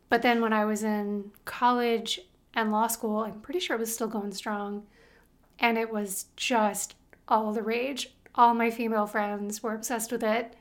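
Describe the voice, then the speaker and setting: Playful woman podcast host. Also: on the high side. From United States. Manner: playful, laughs, breaks into song. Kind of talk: casual chat.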